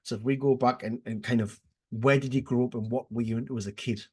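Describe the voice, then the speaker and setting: A man hosts a casual podcast with a slightly husky, breathy voice. He reacts eagerly to his guest and often trips over his words and restarts before landing his question. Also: mid-range voice, fairly even intonation, quick pace. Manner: enthusiastic, stumbling restarts, lots of "wow". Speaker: podcast host.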